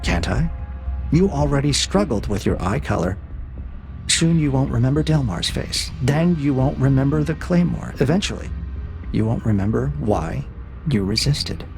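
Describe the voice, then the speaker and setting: A man playing a cold, calculating AI interrogator in an audio drama, speaking in a measured, probing, condescending way with a gravelly edge. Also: medium pitch, lively intonation, a little mellow, faint music behind. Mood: calm menace. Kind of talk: scripted audio drama dialogue.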